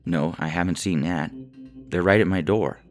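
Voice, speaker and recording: A man's voice: deep voice, normal speed, faint music behind, voice pushed up, lightly acted. A man voicing a frantic, exasperated man under attack by zombies in an audio story, with slangy talk. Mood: scared, impatient. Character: panicked young man besieged by zombies, exasperated caller.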